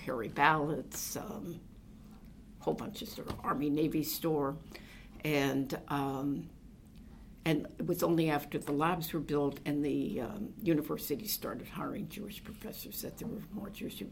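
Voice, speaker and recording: An older woman from New Jersey with a deep, gravelly voice looks back on her family in an interview. She wanders through her memories and often pauses on "um" and "uh" as she recalls details. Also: a little hiss or hum. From New Jersey, USA. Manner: meandering, reflective storytelling with many fillers. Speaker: oral history interviewee. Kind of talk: reminiscing conversation.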